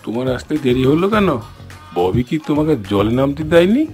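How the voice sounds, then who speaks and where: A man's voice: on the high side, sing-song, fairly quick, darkish tone, faint music behind. A man voicing a sensible, kindly animal who leads his friends in a fairy-tale cartoon. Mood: questioning.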